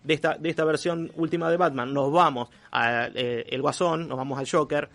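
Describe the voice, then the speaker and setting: Analytical, higher-pitched Rioplatense man. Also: steady tone, creaky, fairly bright tone. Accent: Rioplatense Spanish. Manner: analytical, halting delivery with formal vocabulary. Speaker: radio columnist. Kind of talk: casual on-air conversation about comics and philosophy.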